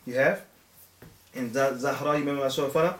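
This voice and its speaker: A man in his thirties, a father and religious teacher, gives an informal talk to viewers with many pauses, fillers and restarts. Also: medium pitch, fairly even intonation. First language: English. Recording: echoey room.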